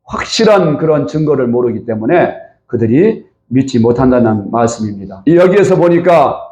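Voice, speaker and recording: A man's voice: medium pitch, very animated, moderate pace, darkish tone, highs cut off. A male pastor preaching a Sunday sermon in a church, putting questions to the congregation and calling for amens. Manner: preaching with rhetorical questions to the congregation and calls of amen. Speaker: senior pastor. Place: church sanctuary. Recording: roomy church echo.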